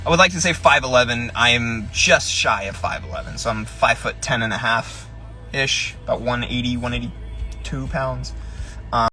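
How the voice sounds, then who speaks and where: A young man chats loosely on a live stream from the driver's seat of his parked car, his voice bright and forward. He rambles and restarts often, filling pauses with ums. Faint music plays behind him. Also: mid-range voice. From Tennessee. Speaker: fitness influencer, personal trainer. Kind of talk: casual live-stream chat.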